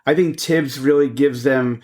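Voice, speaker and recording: A man's voice: mid-range voice, measured pace, darkish tone. A man in his forties co-hosting a podcast, talking sport in an easygoing way, full of fillers. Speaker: podcast co-host. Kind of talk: casual sports chat.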